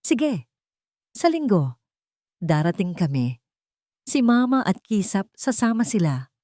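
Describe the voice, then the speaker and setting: A woman's voice: medium pitch, sing-song, slow pace, warm tone, no room sound, clean studio sound. A woman voicing a guilt-ridden, submissive man in a story, apologetic and subdued. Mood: compliant.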